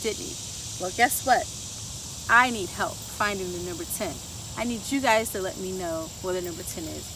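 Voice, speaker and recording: A woman hosting a children's story time outdoors in a garden, reading and teaching in an upbeat way and asking young viewers questions. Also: on the high side. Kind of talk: reading aloud and teaching kids. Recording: distant outdoor mic with insect noise. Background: insects and crickets outdoors.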